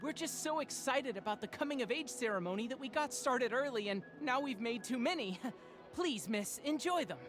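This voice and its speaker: A woman acts out a young village baker's apprentice in a visual novel. The voice is high, eager and deferential, gushing with formal politeness over faint music. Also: lively intonation, moderate pace, lightly acted. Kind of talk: visual novel character acting.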